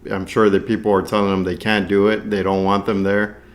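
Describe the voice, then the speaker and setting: A man's voice: lowish voice, level tone, a little fast. A man co-hosting a podcast in casual conversation, agreeable and affirming as he talks. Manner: agreeable, often affirming the other speaker.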